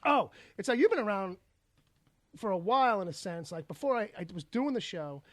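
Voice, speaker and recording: A man with a high, raspy voice, hosting a casual podcast chat, rambling and laughing often. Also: very animated, a little fast, no echo. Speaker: podcast host.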